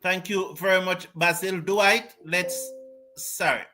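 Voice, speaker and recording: A man's voice: sits high, fairly even intonation, takes it slowly, sharp tone, soft background music. A man hosting a cricket panel show, chatty and often repeating his words as he starts a sentence. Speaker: host. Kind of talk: panel discussion.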